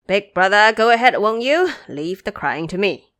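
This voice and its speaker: A woman's voice: mid-range voice, sing-song, moderate pace, a bit bright, dry recording. A woman voicing a lazy, greedy male pig disciple in a storybook reading, whiny and joking in manner.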